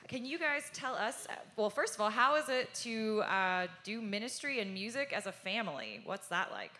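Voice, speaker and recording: A woman's voice: medium pitch, very animated, moderate pace, bright, forceful tone. A woman moderating a panel, asking questions in an upbeat, conversational way. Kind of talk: hosting a panel Q&A.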